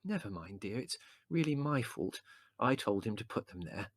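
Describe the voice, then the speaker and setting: A man voicing a gentle, vague middle-aged mother who soothes and mildly scolds in a storybook. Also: fairly high pitch, very animated, moderate pace, slightly rough, no room sound, pitched above the performer's own voice. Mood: apologetic, firm.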